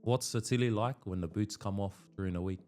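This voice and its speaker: A man's voice: lowish voice, brisk, darkish tone, soft background music. A man hosting a casual podcast interview, laid-back and slangy, laughing often. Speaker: podcast host.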